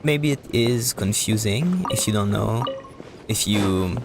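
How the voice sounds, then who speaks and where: A man casually commentating a live game stream, explaining things in a hesitant, halting, rambling way. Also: lowish voice. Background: music.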